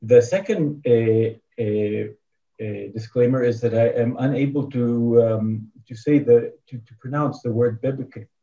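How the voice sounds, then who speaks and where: A man's voice: medium pitch, fairly even intonation, measured pace, mellow, far from the mic, highs cut off. Man with a Hebrew accent lecturing. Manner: hesitant, many fillers and restarts, dry asides. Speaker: academic lecturer. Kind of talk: conference talk read from a prepared paper. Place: remote video conference.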